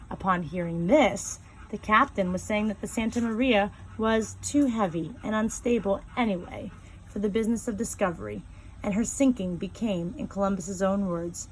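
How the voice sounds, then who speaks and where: A woman reading a first-person novel aloud in a calm, measured storytelling voice, slowing down on key phrases. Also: mid-range voice, slight background noise, poor audio. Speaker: reader.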